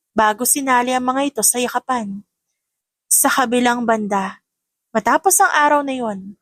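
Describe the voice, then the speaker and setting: A woman narrates an audiobook in a scripted storytelling style. Her voice is fairly high in pitch, and the recording is dry and close. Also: no echo. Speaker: narrator and voice actor.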